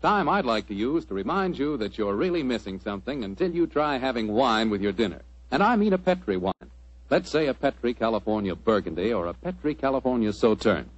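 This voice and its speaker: Husky 1940s radio announcer, a man. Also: mid-range voice, big pitch swings, brisk. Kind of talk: 1940s radio sponsor announcing and scripted narration. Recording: muffled vintage 1940s radio recording.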